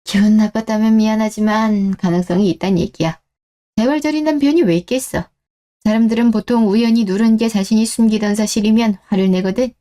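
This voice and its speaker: A caring older father in a radio drama, voiced by a woman. The tone is mellow and unsharpened, at a womanly middle pitch. He comes across as perceptive and gently probing. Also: fairly quick, natural voice. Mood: probing, reasoning. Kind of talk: scripted radio-drama dialogue.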